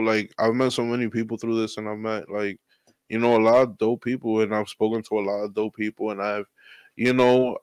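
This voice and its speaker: A man's voice: lowish voice, no echo, rough recording. A man talking as a podcast guest and DJ, laid-back and rambling, swearing freely in casual chat. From New York. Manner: laid-back, profane, rambling with "you know".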